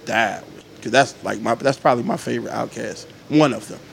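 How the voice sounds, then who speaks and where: A man's voice: medium pitch, a little fast, gravelly. Laid-back joking man, faint room hum. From Atlanta, Georgia. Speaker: podcast guest. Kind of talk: casual podcast chat.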